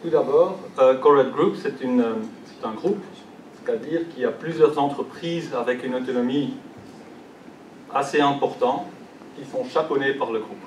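A man's voice: mid-range voice, normal speed, a little mellow, dull audio, a little hiss or hum. A young man with a Belgian French accent, French not his first language, giving a conference presentation with many fillers and restarts. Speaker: conference speaker. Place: large conference hall. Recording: echoey hall, distant mic.

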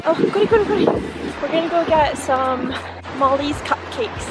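A young woman with an Irish accent vlogs a city day out, her voice a touch high and bubbly. She sounds close to a handheld camera held at arm's length. Loud music runs beneath her. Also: expressive, moderate pace, rough recording. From Ireland. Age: young adult. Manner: bubbly, affectionate. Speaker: vlogger. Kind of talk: casual travel vlog chat. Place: outdoors in a city and in a car.

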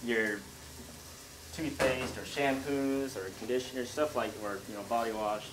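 A man's voice: mid-range voice, steady tone, unhurried. A young American man, a firefighter, chatting casually while he cooks. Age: young adult. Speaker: firefighter cooking a recipe. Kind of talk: casual chat. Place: firehouse kitchen, indoors. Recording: clear kitchen noise, sizzling pan.

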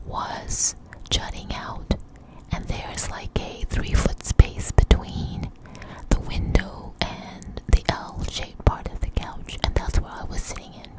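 A woman telling a rambling personal story in a breathy, hushed ASMR whisper, halting as she goes, very close to the microphone. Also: medium pitch, poor audio. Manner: slow, hushed, halting delivery.

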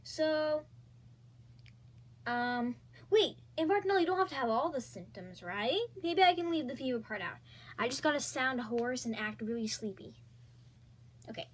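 A woman voicing a whiny schoolgirl who begs to go to school, then schemes and fakes a cough to stay home, in a comedy skit. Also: on the high side. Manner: pleading, excitable, theatrical fake coughing. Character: whiny schoolgirl pleading, then faking sick.

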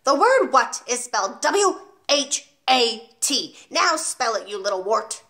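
A woman's voice: medium pitch, animated, normal speed. A woman voicing a huge, cruel, domineering storybook headmistress who barks her contempt. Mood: harshly bullying. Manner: barking, shouting, contemptuous. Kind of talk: children's storybook read aloud.

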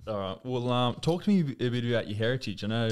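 A man's voice: medium pitch, expressive, fast, a little mellow, clean tone. Laid-back man hosting a podcast. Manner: easygoing, chatty, laughs often. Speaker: podcast host. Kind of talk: casual interview chat. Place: small home studio. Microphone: studio mic on desk stand with headphones.

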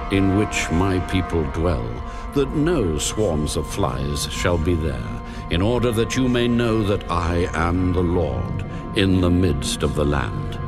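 God in a dramatized scripture reading, a low and commanding male voice. He speaks slowly and solemnly, with a lively rise and fall that gives each order weight. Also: exaggerated voice.

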